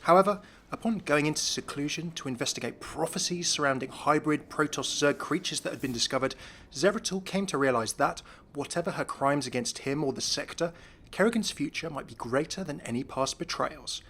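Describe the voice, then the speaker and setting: A man's voice: on the high side, a little fast, fairly bright tone, slight background noise. A man giving explanatory narration about video game lore. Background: background music.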